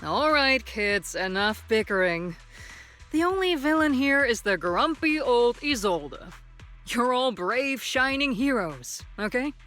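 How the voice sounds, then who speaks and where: A woman voicing a calm, authoritative female colonel in a video game, speaking in a measured, formal way. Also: lowish voice, soft background music. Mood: dry, indulgent. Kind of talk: scripted video game voice acting.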